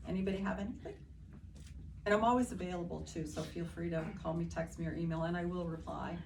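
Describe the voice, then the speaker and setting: A woman, a real estate agent giving a chatty, animated live seminar talk in an office meeting room. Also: fairly low pitch, quick pace, vocal fry, a loud crowd. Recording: distant mic with room noise.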